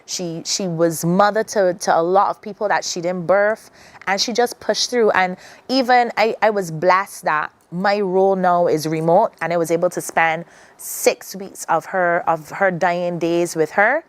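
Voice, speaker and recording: A woman's voice: on the low side, a little slow. A woman from Barbados with a Barbadian accent, talking earnestly as a guest on a morning TV interview, often restarting her sentences. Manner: earnest, reflective, many restarts.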